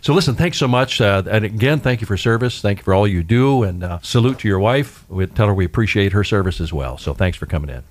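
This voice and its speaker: A man's voice: lowish voice, animated, quick pace, hardly any pauses. A man hosting a weekly public affairs radio show, chatting and interviewing guests in an upbeat way. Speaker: radio host.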